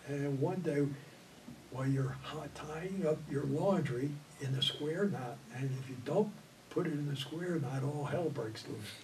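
Older male veteran interviewee, rambling storyteller, mic set back with steady background noise. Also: medium pitch, vocal fry. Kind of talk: oral history recollection. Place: indoor studio before green backdrop. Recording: distant mic, audible room noise.

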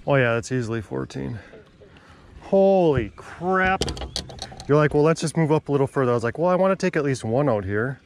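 Excitable young man fishing from a boat. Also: medium pitch, a little fast, a little hiss or hum. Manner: excitable, exclaims when a fish hits. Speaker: angler and video host. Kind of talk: casual chat while fishing. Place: outdoors on a fishing boat on a river. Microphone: action camera on the boat.

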